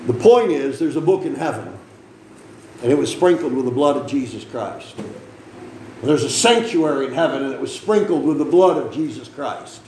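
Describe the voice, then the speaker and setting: A man's voice: mid-range voice, expressive, normal speed, a little mellow, reverberant, slight background noise, low-quality recording. A man preaching a sermon, reading scripture aloud and explaining it in a conversational way, with frequent questions to the congregation and joking asides. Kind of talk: sermon, Bible reading and teaching.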